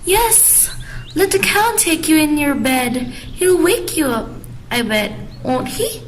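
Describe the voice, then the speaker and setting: A man's voice: very high-pitched, very animated, slight background noise, exaggerated voice. A man voicing a fussy, exclamatory female nurse in an amateur radio drama. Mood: teasing, coaxing. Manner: exclamatory, drawn-out calling. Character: fussy, teasing female nurse, loudly grieving in alarm.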